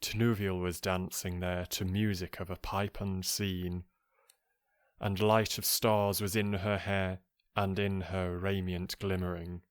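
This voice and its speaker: Man, very low smooth voice, calm poetry reading, unhurried, close dry sound. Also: no room sound. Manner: calm, measured, soothing delivery. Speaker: poetry reader. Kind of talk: slow poetry reading for relaxation.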